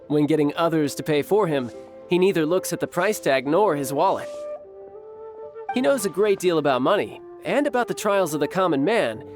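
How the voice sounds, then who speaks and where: A man's voice: a little high, lightly acted. An actor playing a sly young man in a video game, speaking in a wry, casual, playful way.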